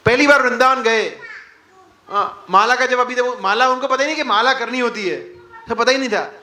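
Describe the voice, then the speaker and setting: Bright high-voiced man teaching a hall. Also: moderate pace. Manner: rhetorical questions and mock quotes, mixing English words. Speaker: devotional class speaker. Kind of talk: interactive spiritual class talk. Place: hall with a live audience.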